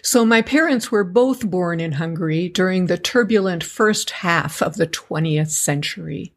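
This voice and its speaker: A middle-aged woman from the United States, answering interview questions in a measured, articulate way. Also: fairly low pitch. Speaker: guest, educators association member.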